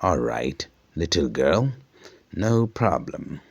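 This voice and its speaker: A man's voice: rumbling low, sing-song, slow pace, creaky, a little mellow, no echo, exaggerated voice. A man voicing a cheerful, polite young rickshaw driver in a folktale, with a friendly, exclamatory delivery. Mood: gruff then soft.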